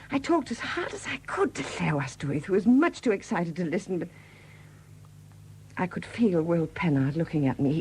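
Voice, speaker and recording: Husky lady murderer confessing, hesitant and confiding, old 70s radio play. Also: fairly low pitch, warm tone. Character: quiet confessing murderess, a Welsh sculptor's widow. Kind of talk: 1970s British radio drama acting. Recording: dated 1970s radio drama recording.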